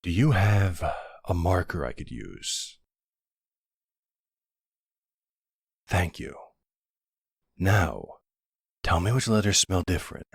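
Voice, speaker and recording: Very deep, husky-voiced boyfriend played by a man. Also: wildly swooping pitch, no room sound, clean studio sound, exaggerated voice. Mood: attentive, caring. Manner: hesitant, halting, gently questioning. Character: supportive, puzzled boyfriend of a werewolf, adult man. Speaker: audio roleplay performer. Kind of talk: intimate boyfriend roleplay audio acting.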